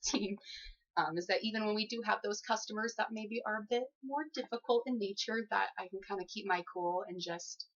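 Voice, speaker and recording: A dull, lo-fi recording of a young woman with a medium-pitched voice. She speaks politely and conversationally, like an applicant in a job interview. Her manner is easygoing and a little giggly. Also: fairly bright tone. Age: young adult.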